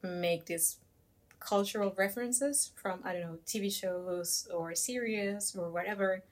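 A woman's voice: mid-range voice, unhurried. A young woman from Peru chatting casually about her feelings, thinking aloud with frequent fillers. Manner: thoughtful, many fillers and hedges. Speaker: discussion participant. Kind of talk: casual conversation.

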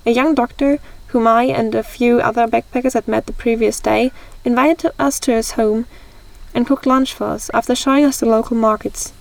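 A woman's voice: fairly high pitch, steady tone, normal speed, creaky, no echo, rough recording. A young woman from Germany hosting a scripted conversation, reading steadily and carefully. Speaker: host. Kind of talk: read-aloud scripted conversation.

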